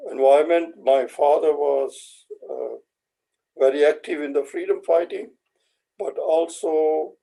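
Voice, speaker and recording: An older man from India with an Indian accent, a native Hindi speaker, recalling his life in a halting, measured way as an interview guest. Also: on the high side, creaky, dark tone, rough recording. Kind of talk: reflective personal recollection.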